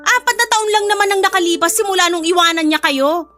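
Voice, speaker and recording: A woman's voice: extremely high pitch, fast, pushed and bright, faint music behind. A woman playing a blunt, protective best friend with a sassy, scolding manner in an audio drama. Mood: indignant.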